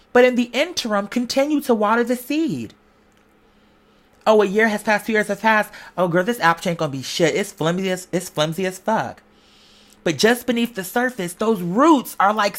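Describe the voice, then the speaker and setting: A woman vlogger delivering an informal motivational monologue, conversational and slangy, with emphatic, preacher-like repetition. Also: mid-range voice. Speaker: online video creator giving a pep talk.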